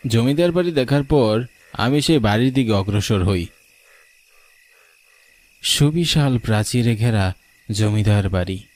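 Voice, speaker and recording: A man's voice: on the low side, animated, unhurried, pauses often, a little mellow. A man reading a scripted first-person story as its narrator. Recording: clearly noisy, rain and thunder behind. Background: rain and thunder sound effects.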